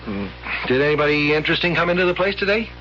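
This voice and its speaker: A folksy, chatty middle-aged husband in old radio drama. His voice is on the high side and swings animatedly as he jokes along. The recording is dull and lo-fi. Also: animated, quick pace, pushed and bright, soft background music. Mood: jovial, chatty. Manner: folksy, jocular.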